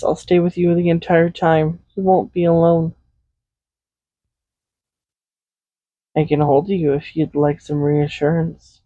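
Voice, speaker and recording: A man's voice: on the high side, no room sound, dull audio, natural voice. A man playing a tender, possessive villain in an intimate roleplay audio drama, speaking in a soothing, coaxing, reassuring way. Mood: gently inviting. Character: tender, possessive villain comforting a captive loved one. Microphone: close USB condenser microphone.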